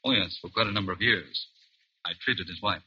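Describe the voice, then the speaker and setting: Reasonable doctor, radio suspense; low but very bright, forceful voice, courteous and unflappable. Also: male voice, fairly quick, slightly rough, heavily acted. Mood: calm, measured. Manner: courteous, reassuring, professional. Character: calm, reasonable doctor, measured and sceptical. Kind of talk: old-time radio suspense acting. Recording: muffled old radio recording.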